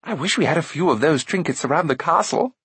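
Brief: man playing a high, excitable young prince, easily amazed, gushing, husky edge. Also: fast, no echo, exaggerated voice. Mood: eager.

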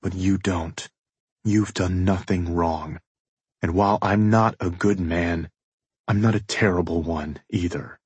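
A brooding, remorseful fiancé, played by a man reading an audiobook. The voice is very deep and husky, measured and stiff with pride, in a dry recording. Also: fairly even intonation, a little mellow, no room sound. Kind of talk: audiobook character dialogue.

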